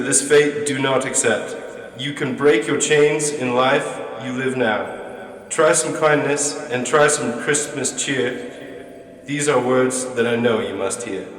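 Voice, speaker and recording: An actor playing a solemn, mournful chained ghost in a radio drama, giving drawn-out warnings through a ghostly reverb. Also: male voice, medium pitch, fairly bright tone. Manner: slow, solemn, drawn-out delivery. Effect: heavy ghostly reverb. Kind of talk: stage-like radio drama acting. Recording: echoey long reverb, distant voice.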